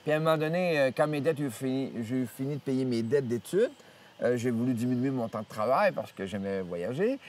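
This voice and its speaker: A man, a priest telling stories from his life in an interview, rambling with many hesitations and restarts and lively retelling of what others said. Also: medium pitch, brisk, recorded from a distance. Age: 70s. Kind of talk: storytelling interview. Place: outdoors in nature.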